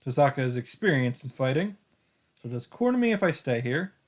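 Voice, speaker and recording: A man's voice: mid-range voice, sing-song, fairly quick. A man hosting a let's play, reading a visual novel's first-person narration aloud with occasional asides of his own. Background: game music.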